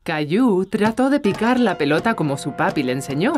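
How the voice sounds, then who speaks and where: A woman voicing the gentle storyteller of a children's cartoon, narrating with a Latin American Spanish accent. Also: on the low side, big pitch swings, moderate pace. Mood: gentle, storytelling. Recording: music behind the voice.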